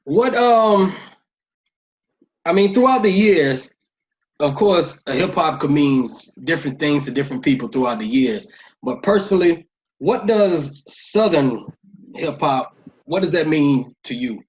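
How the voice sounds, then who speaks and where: A man's voice: fairly high pitch, lively intonation, a little slow, dull audio. A man interviewing someone in a casual, conversational way.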